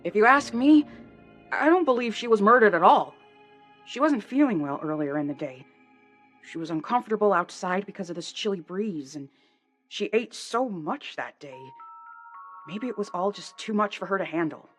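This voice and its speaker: A woman's voice: medium pitch, expressive, normal speed, faint music behind, natural voice. An actress playing an older woman who has served as a longtime secretary, earnest and protesting her innocence in a radio drama. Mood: subdued.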